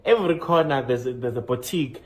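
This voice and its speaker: A man from South Africa with a South African accent, chatting casually in a travel vlog and excitedly announcing each numbered point. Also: quick pace. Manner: animated, jumps up in pitch to announce list items. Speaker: vlogger.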